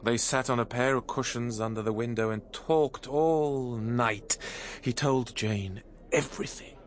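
An actor playing a genial, self-assured young theatre impresario who narrates and speaks in a radio drama. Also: male voice, medium pitch, measured pace, lightly acted. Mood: storytelling. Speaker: narrator and character.

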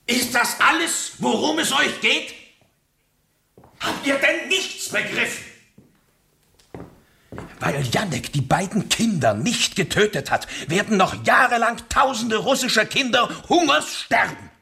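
A man's voice: very high-pitched, expressive, unhurried, gravelly, pushed and bright, breathy edge, full character voice. A man playing a fanatical, embittered revolutionary in a radio play, declaiming in an impassioned, hectoring way. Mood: furious, then bitter, scornful. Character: fanatical, embittered male revolutionary, fierce and unyielding. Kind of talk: dramatic radio play acting.

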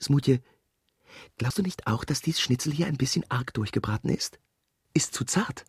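Man playing a gravelly, bossy ship's mate. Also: medium pitch, expressive, normal speed, no echo, whispered, studio quality. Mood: bossy, mocking. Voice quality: gravelly, husky. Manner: colloquial, needling, commanding. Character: gruff, bossy ship's mate, fussy about his food. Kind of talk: radio drama acting.

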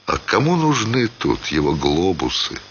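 A man reading a literary short story as expressive scripted narration, with dramatic, theatrical phrasing. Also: low voice, measured pace, muffled recording, a little hiss or hum.

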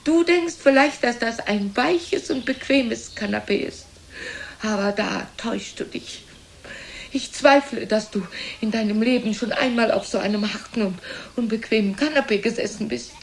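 A woman's voice: fairly high pitch, moderate pace, slight background noise, close to an everyday voice. An older actress playing an aging, self-pitying, reproachful mother in a theatrical German radio drama, lamenting in a plaintive, dramatic way. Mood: complaining. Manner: plaintive, dramatic, repetitive lamenting with swings in loudness.

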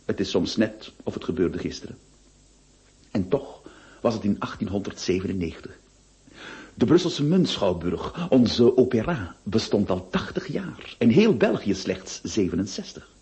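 An actor playing a pompous, well-to-do middle-aged notary who narrates a radio play with theatrical pauses and rhetorical questions. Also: male voice, on the low side, sing-song, warm tone. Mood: confiding. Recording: dull lo-fi old radio recording.

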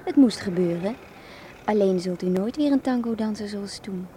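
A woman's voice: mid-range voice, normal speed, warm tone, a little hiss or hum, natural voice. An actress playing a worldly, disillusioned young woman of the night in a radio drama, speaking formally and with knowing provocation. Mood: cool, disillusioned.

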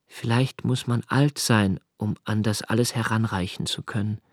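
An actor playing a solitary, brooding young poet of twenty-eight who narrates his own thoughts in a literary radio drama, in a slow, measured, contemplative way. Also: fairly low pitch, fairly even intonation, dry recording, studio quality. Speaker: first-person narrator of a radio play.

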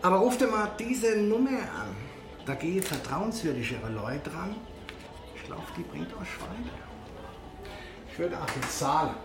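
A man's voice: sits high, sing-song, a little slow, exaggerated voice. A man acting a world-weary, cryptic stranger in a radio drama, talking in a conversational, self-deprecating way. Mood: cryptic, insistent. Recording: echoey room, music behind.

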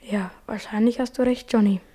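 A woman playing an anxious, excitable schoolboy in a children's radio play, with a husky, breathy, confiding voice. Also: medium pitch, moderate pace, natural voice. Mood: half-convinced. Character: schoolboy, anxious but excitable loyal friend.

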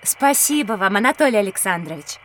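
An actress playing a polite, inquisitive school-age child, earnest and courteous, in an educational audio drama. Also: female voice, medium pitch, animated, brisk, slight background noise, natural voice. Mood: interested.

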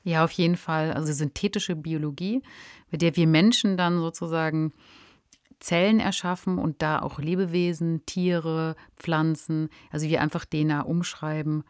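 A woman's voice: sits low, fairly even intonation, moderate pace, smooth, no echo, clean studio sound. A woman in a casual podcast discussion, explaining things in a chatty, engaged way. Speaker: podcast discussion partner, author.

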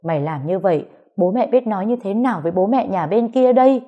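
A woman's voice: low voice, normal speed, highs cut off, natural voice. A woman voicing an anxious, fiery older mother who fusses and scolds her son in an audio story. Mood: angry wailing. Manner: fussing, scolding shrilly.

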